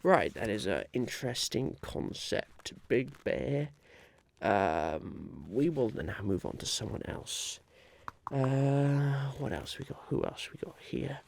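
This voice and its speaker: A man playing a polite, harried would-be businessman chairing a meeting in a soft-spoken, halting ASMR roleplay. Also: medium pitch, lively intonation, vocal fry, a little hiss or hum. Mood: patient, bemused. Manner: hesitant, halting, politely patient.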